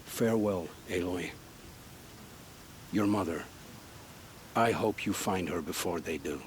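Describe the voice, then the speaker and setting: A man's voice: sits low, slow pace, slight background noise. A man acting an earnest, honour-bound, guilt-ridden family man in a video game, speaking in formal old-fashioned phrases. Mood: solemn.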